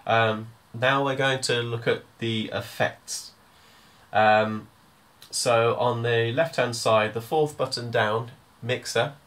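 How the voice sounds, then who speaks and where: A man giving a hands-on music software tutorial, explaining step by step in an informal, hesitant way. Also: mid-range voice, steady tone, slow pace.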